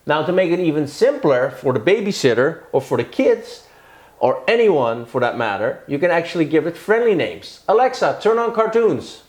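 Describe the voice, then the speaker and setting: Higher-voiced man in an echoey room. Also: big pitch swings, normal speed. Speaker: product demo presenter. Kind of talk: explanatory product demonstration. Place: living room in a house.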